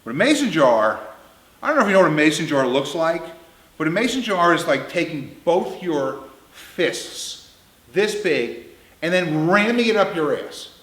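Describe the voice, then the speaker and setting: A man's voice: fairly high pitch, very animated, moderate pace, a bit bright. A man in his fifties telling comic anecdotes in a blunt, crude, emphatic way. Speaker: storyteller. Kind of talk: comedic anecdote storytelling. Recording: roomy, echoing indoor sound.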